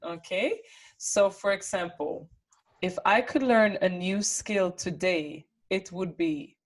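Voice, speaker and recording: Slow-spoken woman interviewer in her twenties. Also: on the low side, poor audio. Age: 20s. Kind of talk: casual interview hosting.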